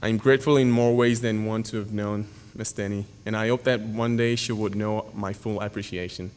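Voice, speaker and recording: A man's voice: on the low side, fairly even intonation, darkish tone, a little hiss or hum. Young man reading an earnest speech. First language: Yoruba. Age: young adult. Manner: earnest, formal, with halting pauses and fillers. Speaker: graduating senior giving a speech. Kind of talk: prepared speech read at a podium. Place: school auditorium. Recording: hall reverb.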